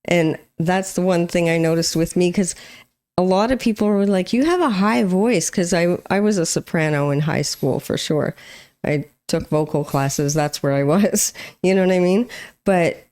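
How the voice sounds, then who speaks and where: A woman's voice: on the low side, moderate pace, a little mellow. A woman co-hosting a music reaction video, chatting casually with her partner and laughing easily. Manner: chatty, laughs often, upbeat sign-off. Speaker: co-host of a music reaction channel.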